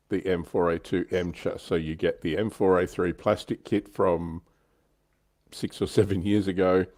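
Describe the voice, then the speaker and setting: A man's voice: low-pitched, darkish tone. A man hosting a livestream, chatting casually and explaining details of a hobby. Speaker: livestream host.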